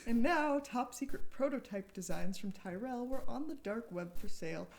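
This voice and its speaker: A woman's voice: on the high side, expressive, moderate pace, creaky, darkish tone. A woman author giving a dramatic narrated reading of her thriller novel. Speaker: author reading own book.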